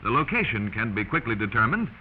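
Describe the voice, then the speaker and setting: A man's voice: lowish voice, very animated, fast talker. An American man narrating a 1940s instructional film in an emphatic, instructive manner, addressing the listener directly. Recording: muffled, noisy 1940s film soundtrack.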